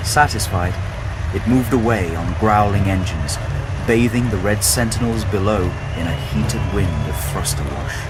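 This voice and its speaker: A man narrating a scripted audio drama in a measured, solemn, dramatic storytelling style. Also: lowish voice, heavy background noise.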